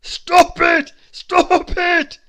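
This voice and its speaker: A storyteller voicing a huge, short-tempered male dragon who rumbles and bellows. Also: level tone, very slow, sharp tone, heavily acted. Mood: laughing helplessly.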